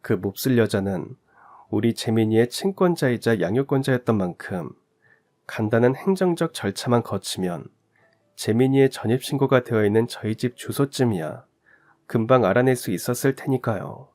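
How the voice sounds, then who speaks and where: A man's voice: mid-range voice, animated, normal speed, a little mellow. Earnest man narrating a story. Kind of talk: scripted first-person narration.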